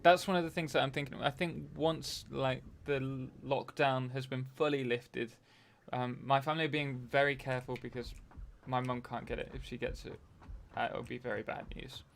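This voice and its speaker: A man's voice: medium pitch, steady tone, normal speed, vocal fry, few pauses, slight background noise. A young man hosting a casual live stream while playing a game, rambling and answering chat messages.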